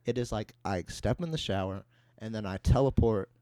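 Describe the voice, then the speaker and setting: A young man with a fairly low voice talks casually, as a podcast co-host would. He tells personal stories and banters in conversation, recorded close and dry. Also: moderate pace, mellow, dry recording. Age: young adult.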